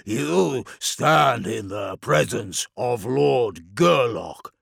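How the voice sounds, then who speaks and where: Slow, snarling mutant henchman. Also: medium pitch, expressive, creaky, a bit bright, no echo, clean studio sound, voice pushed up, exaggerated voice. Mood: contemptuous. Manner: slow, menacing, commanding. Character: one-eyed mutant henchman, snarling and contemptuous. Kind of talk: audiobook villain acting.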